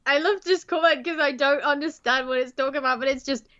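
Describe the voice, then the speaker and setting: A woman's voice: high-pitched, fast talker, sharp tone. A young woman co-hosting a livestream, giggly and teasing, reading comments from chat. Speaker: stream co-host. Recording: dull, slightly muffled mic.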